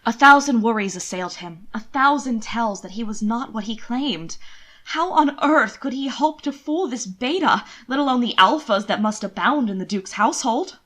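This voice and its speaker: A woman narrating a period romance story as an amateur audiobook reader, with expressive, scripted delivery. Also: on the high side, normal speed. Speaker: amateur fan fiction narrator.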